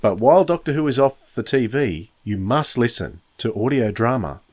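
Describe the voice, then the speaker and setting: A man's voice: mid-range voice, expressive, normal speed, darkish tone. A man hosting a review podcast alone, talking casually like an enthusiastic fan. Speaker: podcast host.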